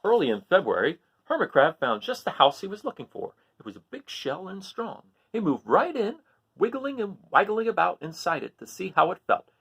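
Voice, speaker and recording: A man reads a children's story aloud with a hugely varied, swooping pitch. His voice is husky, and he phrases things deliberately, leaning on key words for drama. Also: very animated, fairly bright tone. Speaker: storybook reader. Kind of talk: expressive children's read-aloud.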